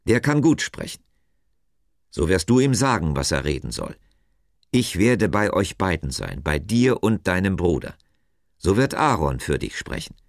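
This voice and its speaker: A man's voice: deep voice, animated, measured pace, no room sound, studio quality. Man voicing a commanding, emphatic God. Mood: stern, impatient. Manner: solemn, emphatic, declarative. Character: God, commanding, stern, patient male deity.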